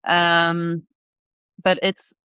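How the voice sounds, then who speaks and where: A woman's voice: on the low side, monotone, very slow, smooth, no room sound, highs cut off. A young woman from the south of Sweden, a podcast guest who speaks English with a Swedish accent. She chats casually, says "like" constantly and swears freely. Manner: chatty, fills every sentence with "like", swears freely. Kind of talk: casual chat. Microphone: remote call.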